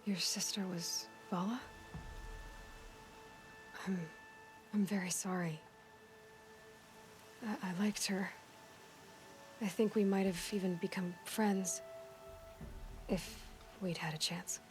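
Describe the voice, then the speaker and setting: A woman's voice: medium pitch, steady tone, slow pace, pauses often, darkish tone, close to an everyday voice. A woman playing a resolute, plain-spoken young outcast huntress in a video game. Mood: sympathetic, hesitant. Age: young adult. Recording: game music behind the voice.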